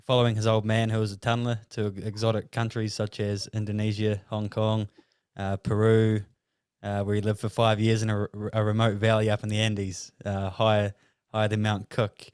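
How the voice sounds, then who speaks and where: A man with a New Zealand accent hosting a podcast, reading a guest introduction with many halting fillers. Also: fairly low pitch, monotone, a little mellow, clean tone, no room sound, studio quality. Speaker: podcast host. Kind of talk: casual podcast interview and introduction.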